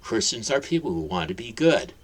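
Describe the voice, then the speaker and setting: A man voicing a big, friendly bearded man who speaks brightly and emphatically. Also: fairly low pitch, sing-song, moderate pace, husky, heavily acted. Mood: earnest.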